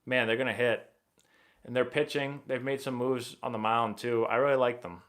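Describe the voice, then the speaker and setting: A young man hosting a live baseball stream, chatting casually and rambling excitably as he answers viewer questions. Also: mid-range voice, normal speed. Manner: rambling and excitable, many fillers and restarts.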